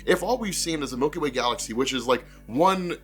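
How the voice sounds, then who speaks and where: Fast-talking congested man explaining comics. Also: on the high side, lively intonation, soft background music. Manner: rambling, conversational, repeats phrases and says like often. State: congested from a cold. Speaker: comics explainer video host. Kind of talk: casual excited fan commentary.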